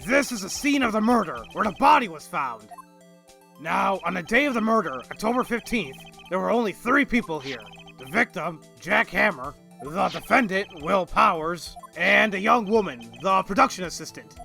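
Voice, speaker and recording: Guy doing eager folksy cop for a game, forceful bright voice with lots of gravel, explaining stuff. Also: high-pitched, lively intonation, moderate pace, full character voice. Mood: eager, explanatory. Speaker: voice actor in fan dub. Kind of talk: video game character acting, explanatory. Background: quiet game music.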